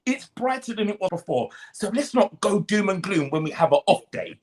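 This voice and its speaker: Emphatic man with a lively voice. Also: sits high, brisk. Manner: emphatic, rallying, speech-like. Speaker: fan guest. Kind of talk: casual podcast chat.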